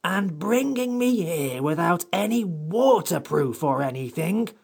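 A man voicing a haughty, complaining furry magical creature in a creaky, husky storybook character voice. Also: fairly high pitch, animated, slow pace, pitched above the performer's own voice, exaggerated voice. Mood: irritated, disdainful. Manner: crabby, pompous, disdainful.

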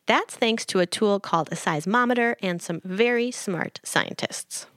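A woman's voice: mid-range voice, normal speed, dry recording, clean studio sound. A woman host giving scripted science narration for kids, explaining with playful comparisons. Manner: bright explainer, playful analogies. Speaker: podcast host.

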